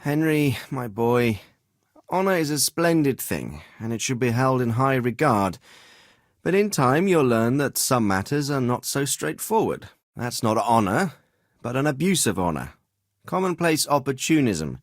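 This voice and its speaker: An actor playing a dignified, fatherly middle-aged nobleman in a medieval video game, speaking in formal, deliberate phrases. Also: medium pitch, big pitch swings, no room sound, studio quality. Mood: measured, counselling. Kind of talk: scripted video game dialogue.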